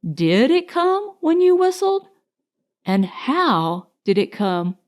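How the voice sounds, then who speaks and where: Slow-paced, higher-voiced woman storyteller. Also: a little mellow. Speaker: audiobook narrator. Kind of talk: scripted children's storybook narration.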